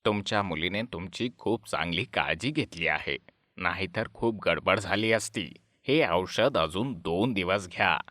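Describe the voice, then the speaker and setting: A man plays a kindly family doctor in an animated moral story. He advises calmly in a fairly low voice, recorded very dry. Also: unhurried, no echo. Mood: calm, advising.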